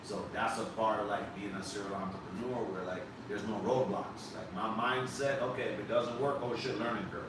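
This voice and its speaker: A man's voice: lowish voice, steady tone, brisk, hardly any pauses. A man hosting a live podcast, talking emphatically and animatedly to his guest and casually dropping swear words. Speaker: podcast host. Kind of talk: casual interview chat. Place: live podcast set on a couch. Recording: echoey room, distant mic, background noise.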